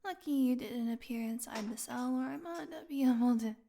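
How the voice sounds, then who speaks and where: A woman playing a starving, captive vampire in an audio roleplay, sardonic and proud but desperate, with halting, breathy speech that often falls to a whisper. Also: fairly high pitch, warm tone. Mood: weak, delirious, sardonic. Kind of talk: intimate dramatic audio roleplay.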